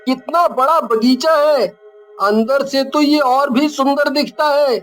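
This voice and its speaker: Slow-talking, eager young village boy played by an actor. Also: high-pitched, steady tone, fairly bright tone, soft background music. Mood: awed, determined. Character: village boy, curious, skeptical, eager, visiting grandmother. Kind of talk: animated story dialogue.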